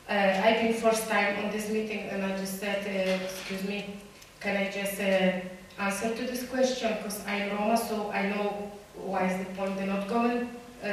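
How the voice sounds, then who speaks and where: A woman whose first language is Romani, giving earnest personal testimony to a group in halting English with many pauses and restarts. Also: mid-range voice, slight background noise. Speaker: community speaker. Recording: echoey room, voice from far off.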